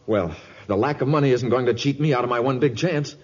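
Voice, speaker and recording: An actor playing an eager, stubborn young scientist, earnest and emphatic, in a 1950s radio drama. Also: male voice, mid-range voice, fairly quick, husky, lightly acted. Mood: defiant. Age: young adult. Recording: dull lo-fi 1950s radio recording.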